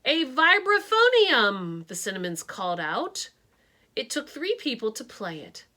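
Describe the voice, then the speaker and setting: A woman reading a picture book aloud with expressive delivery and her own asides. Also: medium pitch, normal speed. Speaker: storybook reader.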